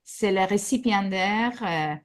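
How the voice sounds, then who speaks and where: A woman's voice: mid-range voice, takes it slowly. A woman directing a research group, opening a webinar over a video call, hesitant with many fillers. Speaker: webinar host, program director. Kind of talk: live webinar introduction.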